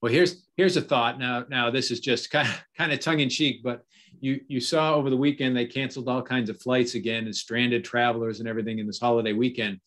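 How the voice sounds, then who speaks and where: A man's voice: mid-range voice, fairly even intonation, brisk, fairly bright tone. A middle-aged man speaking as a guest on a video-call panel from his home office, thoughtful, with light laughs and repeated "yes". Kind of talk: casual panel discussion. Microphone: video call webcam.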